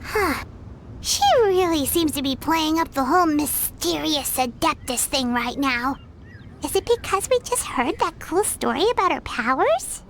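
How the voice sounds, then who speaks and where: A woman's voice: high-pitched, expressive, unhurried, a little hiss or hum. A woman voicing a small, childlike fairy companion in a video game, cheeky, outspoken and exclamatory. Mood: curious, chattering. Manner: speaks of herself in third person, exclamatory. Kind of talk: animated game voice acting.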